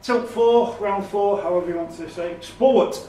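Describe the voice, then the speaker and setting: A man's voice: high voice, moderate pace, mellow. A man acting as quizmaster, reading questions aloud and ruling on the answers. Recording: roomy, distant, noisy recording.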